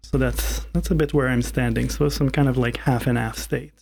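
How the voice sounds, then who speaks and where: Reflective man with a gravelly voice. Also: medium pitch, steady tone, darkish tone, slight background noise. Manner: reflective and halting, searching for words. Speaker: podcast guest. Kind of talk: casual group podcast chat.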